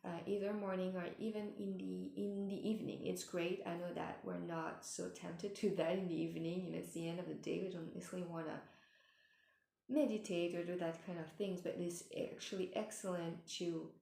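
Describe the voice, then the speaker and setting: A woman giving gentle spiritual advice in a meandering way, with many fillers and restarts, and she is losing her voice. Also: on the low side, little pitch movement, fairly quick, vocal fry, reverberant. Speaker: spiritual mentor. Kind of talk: casual advice talk.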